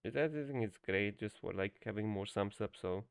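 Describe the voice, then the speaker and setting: A young man whose first language is Hungarian talks through a live stream, wandering and restarting with plenty of fillers. His voice has a gravelly, fry-edged texture. It is recorded dry and close with no room sound. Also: fairly low pitch, normal speed, no room sound. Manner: rambling, many fillers and restarts. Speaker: game coach streamer. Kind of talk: casual live-stream commentary.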